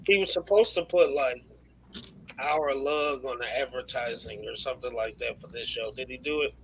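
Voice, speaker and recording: A man's voice: medium pitch, moderate pace, slight background noise, rough recording. A man in his forties, chatting casually on a stream panel and teasing the others. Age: 40s. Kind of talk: casual chat.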